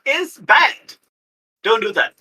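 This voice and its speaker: A man's voice: very high-pitched, animated, slow pace, bright, forceful tone, slightly rough. A man giving a casual, comic recap of a tabletop game, mock-serious and full of wry understatement. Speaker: tabletop role-playing player.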